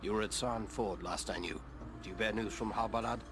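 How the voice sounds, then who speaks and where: An actor playing a wary, commanding ranger leader in a fantasy video game, speaking gravely and formally. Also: male voice, fairly low pitch, brisk, noisy background, lightly acted. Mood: guarded greeting.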